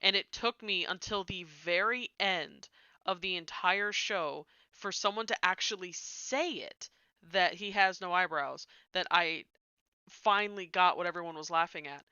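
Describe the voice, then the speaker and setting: A woman's voice: on the low side, measured pace, sharp tone, clear, resonant tone, no echo, lo-fi sound. A woman streamer chatting casually while she builds in a game, rambling, trailing off and muttering to herself.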